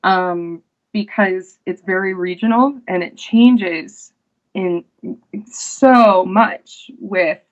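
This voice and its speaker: A woman, an actress, chatting casually as an interview guest, unhurried and full of fillers and restarts. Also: medium pitch, muffled recording.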